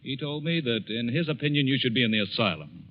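A man's voice: medium pitch, expressive, quick pace, close to an everyday voice. A man playing a dismissive, mocking book editor in a blunt manner, in 1940s radio acting style. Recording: dull 1940s radio recording.

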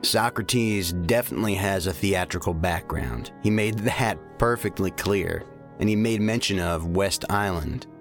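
An actor playing a blunt, stubborn special agent in an audio drama. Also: male voice, sits low, measured pace. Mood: pensive, puzzled. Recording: faint music behind the voice.

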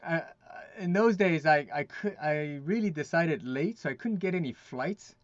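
A man as the guest in an interview podcast, telling stories in a casual, halting way with many restarts and laughs. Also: a little high, dry recording, muffled recording. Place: lives in Quebec.

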